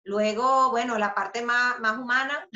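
Bright-voiced Venezuelan woman on a video call. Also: a little high, slow. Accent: Venezuelan Spanish. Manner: animated, gestures a lot, many fillers and restarts. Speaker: interviewee, author and journalist. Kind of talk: casual interview answers. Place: home room with a book poster. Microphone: video call webcam. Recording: roomy, slightly dull webcam audio.

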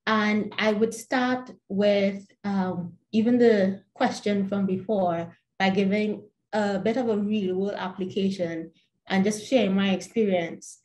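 A young woman with a Trinidadian accent, answering earnestly as a webinar panelist, measured and repeating words as she searches for them. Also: medium pitch, steady tone, darkish tone, slight background noise. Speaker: panelist, startup founder. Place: home room, plain wall. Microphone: laptop webcam video call. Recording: roomy echoey home room.

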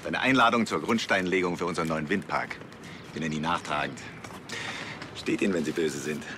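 An actor playing a smug, teasing local bigwig in a television crime comedy. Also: male voice, extremely low pitch, fairly quick, over music.

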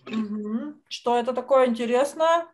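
A woman's voice: a little high, unhurried, background noise. A woman teaching an online drawing lesson to children, encouraging and full of praise. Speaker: art teacher. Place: indoors on a video call.

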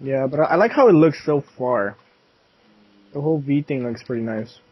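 A man's voice: medium pitch, lively intonation, normal speed, husky, dry recording, muffled recording. A young man chatting while playing a block-building game, teasing and laughing with his friend. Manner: playful, teasing, laughs often. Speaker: gamer. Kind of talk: casual gaming chat.

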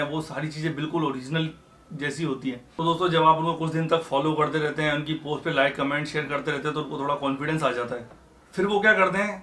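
A man's voice: fairly high pitch, fairly bright tone. A man in his thirties, a YouTuber talking straight to camera in an earnest, explanatory way, calling his viewers friends. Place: indoor room with sofa and cushions.

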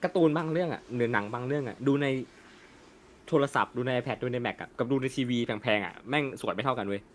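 A young man talks fast and bluntly, swearing freely in a casual chat. His voice sits in a middle pitch, and faint room noise hangs behind it. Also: animated. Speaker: co-host. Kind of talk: casual chat about a film.

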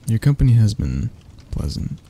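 Man performing a predatory male creature, gravelly, mellow, doting and coaxing, close-mic ASMR roleplay. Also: lowish voice, sing-song, slight background noise. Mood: smooth, condescending. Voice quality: husky, breathy, gravelly. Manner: slow, teasing, coaxing, with soft chuckles. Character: seductive, predatory male insectoid castle monster, doting yet hungry. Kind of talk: intimate ASMR roleplay acting. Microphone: close microphone.

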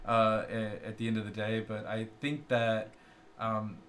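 A man's voice: fairly low pitch, monotone, a little slow, fairly bright tone, slight background noise. Middle-aged kentucky guy on a video call, upbeat, chuckles a lot, talking through earbuds. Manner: upbeat, chuckles often while explaining. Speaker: co-host. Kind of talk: casual tech chat. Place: indoor room on a video call. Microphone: wired earbuds on a video call.

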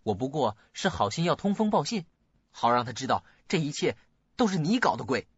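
A man's voice: a little high, sing-song, a little fast, husky, no room sound, full character voice. An actor playing an emotional, troubled young man in a radio drama. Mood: bitter, accusing. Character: bitter, accusing young man, anxious son at home. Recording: dull, dry lo-fi audio, faint music.

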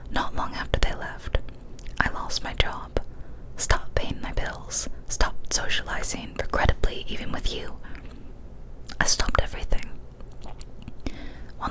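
A young woman whispering softly and breathily, close to the microphone, in a careful, hushed ASMR storytelling style. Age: young adult. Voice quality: soft, breathy unvoiced whisper. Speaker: ASMR creator reading scary stories. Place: small decorated room, indoors. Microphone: close studio microphone on a boom arm.

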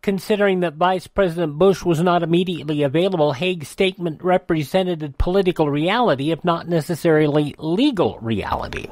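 A man's voice: a little high, fairly quick, hardly any pauses, darkish tone, no echo. An American man hosting radio, reading a this-day-in-history rundown in a casual way. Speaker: radio host.

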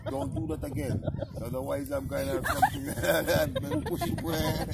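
A woman's voice: low voice, sing-song, unhurried, gravelly, heavy background noise. A woman casually chatting and teasing a child outdoors at the beach, laughing often as she films on a phone. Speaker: vlogger filming family. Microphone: handheld phone camera.

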